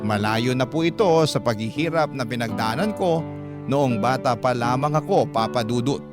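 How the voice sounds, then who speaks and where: A man's voice: mid-range voice, expressive, normal speed. A man reading a listener's letter in first person as scripted radio narration, in an earnest storytelling manner. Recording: narration over clear guitar music. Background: guitar music.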